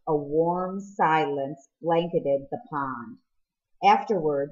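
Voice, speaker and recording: Female story reader, slow delivery, lowish voice, slightly lo-fi sound. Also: a little mellow. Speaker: audiobook reader. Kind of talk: scripted story narration.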